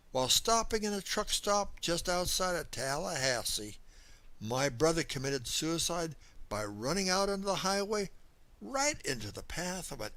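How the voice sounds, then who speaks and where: A man's voice: a little high, fairly bright tone, no echo. A man narrating a scripted first-person story in a folksy, dramatic storytelling manner. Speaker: audiobook narrator.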